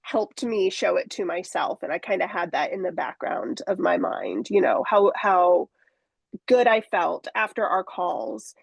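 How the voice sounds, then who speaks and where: A woman in her forties speaking candidly in an interview, reflective and halting, with many fillers and restarts. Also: fairly low pitch, expressive, vocal fry, breathy edge, no room sound. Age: 40s. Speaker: coaching client.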